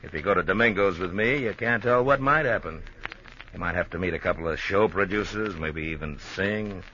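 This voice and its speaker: A low-pitched American gambler and gunman in a vintage radio thriller. He talks in smooth, slangy, coolly menacing lines. The old recording is muffled, with clear noise. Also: brisk, sharp tone. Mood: smooth, goading. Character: smooth-talking male gambler turned cold, menacing gunman. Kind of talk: 1950s American radio crime drama acting.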